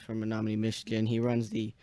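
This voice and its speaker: Young male radio net host, mellow but smooth, halting casual delivery, dry close sound. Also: lowish voice, monotone, no echo. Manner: halting, searching for words. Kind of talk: casual radio check-in chat. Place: small indoor radio room.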